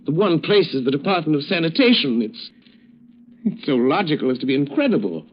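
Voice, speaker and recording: An actor playing a shrewd, confident detective hero in 1940s radio drama style, reasoning earnestly and emphatically. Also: male voice, a little high, expressive, fairly quick, a little hiss or hum, lightly acted. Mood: determined, urgent. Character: shrewd, confident amateur detective and secret crimefighter, adult man. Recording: muffled old-time radio recording.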